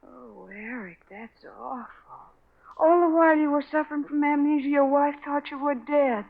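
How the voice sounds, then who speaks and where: A dull vintage broadcast carries a high, earnest female voice. an anxious, devoted woman in a melodramatic 1940s radio play. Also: moderate pace. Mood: concerned, pitying. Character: anxious, pleading woman companion of a fugitive. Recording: muffled 1940s radio recording.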